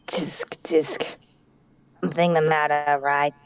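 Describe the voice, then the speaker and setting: A woman's voice: sits low, level tone, slow, background noise. A woman voicing a haughty, scornful older male prosecutor with a pompous, taunting delivery in a game dub. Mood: smug. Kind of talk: amateur video game dub.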